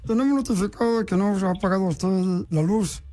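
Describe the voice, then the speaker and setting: A man's voice: sits high, a little fast, warm tone. A male radio host, posing as a government official in a prank phone call, teasing and mock-stern.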